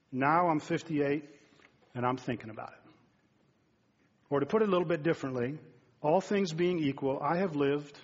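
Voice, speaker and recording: A man in his fifties delivers a sermon from the pulpit of a church sanctuary, earnest and conversational as he speaks straight to the congregation. His voice sits a touch high and slightly husky. The recording sounds dull and muffled, with a long reverb trailing off the hall. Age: 50s. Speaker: pastor.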